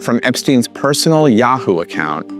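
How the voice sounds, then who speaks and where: A man's voice: medium pitch, big pitch swings, moderate pace, over music. A man reading scripted news narration as a reporter.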